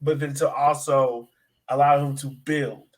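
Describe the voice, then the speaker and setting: A man's voice: mid-range voice, flat delivery, unhurried. A man co-hosting a sports podcast, talking casually about soccer in a slangy, emphatic way and repeating phrases.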